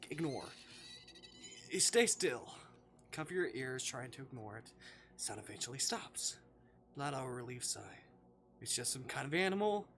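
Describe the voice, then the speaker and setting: A livestreamer reading a visual novel aloud and commenting on it, expressive and sweary, with dramatic delivery. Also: medium pitch, moderate pace, pauses often, a little hiss or hum. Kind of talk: livestream gameplay commentary mixed with read-aloud narration. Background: music.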